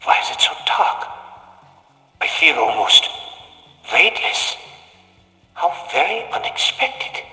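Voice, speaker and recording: Curious scientist, swooping theatrical delivery, long echoing reverb, talking to himself, video game drama. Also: male voice, a little high, big pitch swings, takes it slowly, pauses often, pushed and bright, faint music behind, heavily acted. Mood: uneasy wonder. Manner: theatrical, exclaiming, talking to himself while examining. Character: awed, curious German scientist exploring a dark alien cave. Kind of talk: video game audio log acting. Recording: very echoey, long cavernous reverb.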